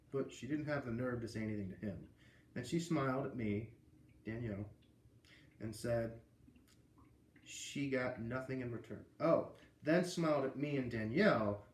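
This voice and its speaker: A man's voice: medium pitch, a little mellow, reverberant. A man with an American accent reading a children's book aloud, with casual asides. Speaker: read-aloud reader.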